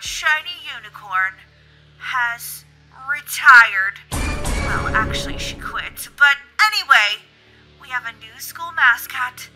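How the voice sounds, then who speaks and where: A very bright, piercing principal acted by a woman delivers slow, theatrical announcements in a cartoon skit. Her formal voice swings up and down over faint music. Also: sits high, full character voice, low-quality recording. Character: female school principal making dramatic announcements.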